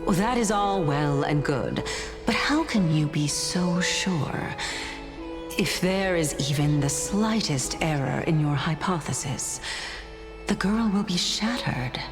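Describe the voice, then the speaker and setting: An actress voicing a mysterious, stern woman who speaks in a measured, formal way. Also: low voice, lively intonation, heavily acted. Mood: grave. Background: game background music.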